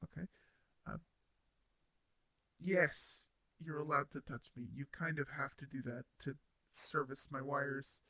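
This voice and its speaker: Bright-voiced, shy robot roommate played by a man. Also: mid-range voice, fairly even intonation, unhurried, vocal fry, long pauses, heavily acted. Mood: gentle instructing. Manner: stammering, hesitant, nervous laughs, sighs. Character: shy, flustered, kindly male robot roommate. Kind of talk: intimate roleplay audio drama addressed to the listener.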